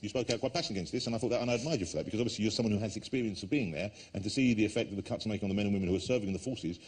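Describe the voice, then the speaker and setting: Rapid-fire joking talk show host. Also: male voice, lowish voice, husky. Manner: fast, jokey, teasing banter. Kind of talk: TV chat show interview. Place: TV studio with audience.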